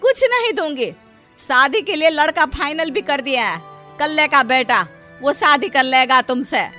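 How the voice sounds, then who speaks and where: A woman playing a stubborn, scolding village mother in an animated moral story. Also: high-pitched, a little slow, soft background music, full character voice.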